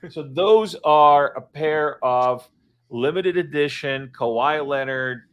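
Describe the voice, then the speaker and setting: A man's voice: on the high side, takes it slowly, smooth, muffled recording. A man chatting casually from his home office, rambling with many fillers and restarts. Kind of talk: casual live-stream chat.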